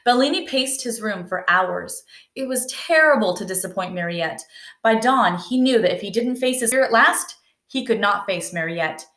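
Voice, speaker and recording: A woman's voice: medium pitch, expressive, normal speed, fairly bright tone. A woman reading a children's story aloud, narrating expressively. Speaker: storybook reader. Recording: roomy indoor echo.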